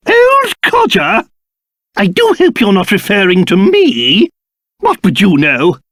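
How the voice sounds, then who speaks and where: A man's voice: sits high, huge pitch swings, slow pace, fairly bright tone, dry recording, studio quality, exaggerated voice. A man voicing a fussy, haughty old cursed king, a creature and doting father in a video game, with a pompous, theatrical, exclamatory manner. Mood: indignant. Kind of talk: video game character voice acting.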